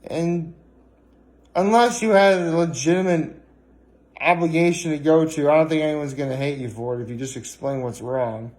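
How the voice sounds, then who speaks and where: A man chatting casually in a vlog, rambling and hesitant, with frequent pauses and filler words. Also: fairly high pitch, darkish tone. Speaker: vlogger.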